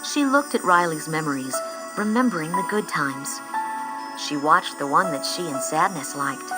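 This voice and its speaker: A woman reading scripted storybook narration in an expressive, measured storytelling style. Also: low voice, rough recording. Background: music.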